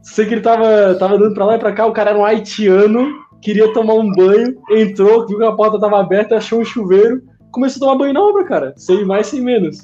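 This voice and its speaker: A man in casual podcast chat, an animated storyteller who acts out other people in a squealing voice. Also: high-pitched, quick pace, few pauses. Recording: slightly dull sound with faint music.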